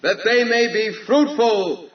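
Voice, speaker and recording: A man's voice: high-pitched, animated, slow pace. A man playing God in a biblical audio drama, declaiming solemnly and with commanding authority. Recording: dull, distant voice under music.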